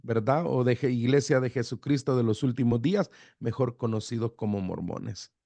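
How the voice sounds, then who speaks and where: Salvadoran man, border accent, halting. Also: mid-range voice, vocal fry, no echo, dull audio. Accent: Salvadoran-Guatemalan border Spanish. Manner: relaxed, hesitant with fillers and repeated words. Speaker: pastor, guest. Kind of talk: radio interview, conversational.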